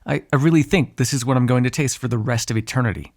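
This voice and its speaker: A man's voice: mid-range voice, quick pace, a little mellow, natural voice. A man playing a sardonic, foul-mouthed vampire on the run in an ASMR roleplay, talking in a conversational, exasperated way. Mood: grumbling.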